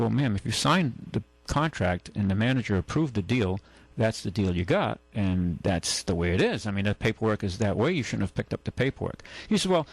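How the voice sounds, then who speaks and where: A man with a gravelly, creaky voice hosts a talk radio show. He tells long, meandering stories, stumbles over restarts and acts out other people's lines. The sound is dry and close. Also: on the low side, no room sound, poor audio. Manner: rambling anecdotes with many restarts and acted-out quotes. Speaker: radio show host and car dealer. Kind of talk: casual on-air storytelling. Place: radio studio.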